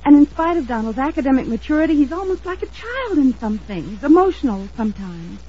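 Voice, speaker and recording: A woman's voice: sits high, big pitch swings, brisk, mellow. An actress playing an anxious, insistent young woman in an old-time radio drama, speaking in an earnest, emphatic, dramatic manner. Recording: dull lo-fi vintage radio recording.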